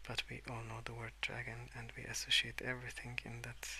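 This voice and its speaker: A man speaking softly and hesitantly in a rambling ASMR style, often slipping into whispers at a desk indoors. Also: mid-range voice, monotone, a little fast, creaky, slight background noise. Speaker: host of a colouring ASMR channel. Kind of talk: soft-spoken rambling ASMR. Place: at a wooden desk indoors, with pencil sounds.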